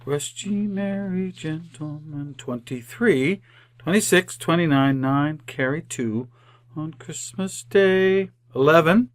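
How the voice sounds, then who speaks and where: Guy doing timid kindly clerk in old radio play, real slow, hesitant, a little high. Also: no echo. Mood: absorbed, humming along. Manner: deferential, hesitant. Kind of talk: re-created old-time radio drama acting.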